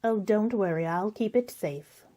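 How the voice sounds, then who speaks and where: A woman's voice: mid-range voice, unhurried, mellow, slight background noise, natural voice. A woman acting a guarded female suspect in an amateur radio mystery play. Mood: reassuring.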